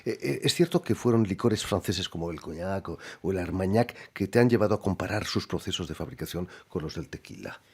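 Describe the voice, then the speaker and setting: A man with a very low, husky voice hosts a radio interview from the studio. His pitch moves a lot as he asks his questions. He introduces his guests in a formal, measured way. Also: animated.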